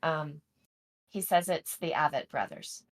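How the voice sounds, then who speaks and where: A woman's voice: low voice, expressive, measured pace. Chatty woman podcast host. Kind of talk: casual podcast conversation.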